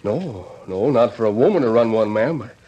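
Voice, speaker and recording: An actor playing a middle-aged, plain-spoken cowhand and ex-convict in an old Western radio drama, halting and humble. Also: male voice, medium pitch, animated, husky. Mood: sheepish. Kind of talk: 1940s-1950s Western radio drama acting. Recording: dull lo-fi old radio recording.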